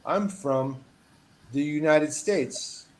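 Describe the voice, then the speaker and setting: A man teaching a one-on-one English lesson over a video call, patient and encouraging, reading model phrases with lively emphasis. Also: on the high side, very slow, a little hiss or hum. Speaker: English tutor.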